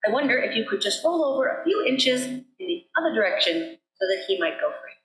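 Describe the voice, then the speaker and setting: A woman's voice: high voice, big pitch swings, a little fast, a bit bright. A woman voicing a brave, helpful little male mouse who calls out politely in a storybook tale. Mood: calling out. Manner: calling out, polite. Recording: roomy sanctuary echo.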